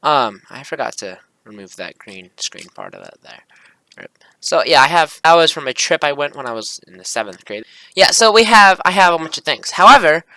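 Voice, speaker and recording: Young male video creator, forceful bright tone, dry close sound, casual rambling delivery with self-corrections. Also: fairly high pitch, big pitch swings, normal speed, no echo, poor audio. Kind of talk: casual screen-recording commentary, reading script aloud.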